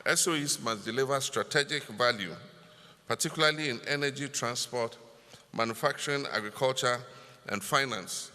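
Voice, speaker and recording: A man with a Ghanaian accent delivering a formal scripted speech in a deliberate, emphatic manner. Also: medium pitch, pushed and bright. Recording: long reverb of a large hall.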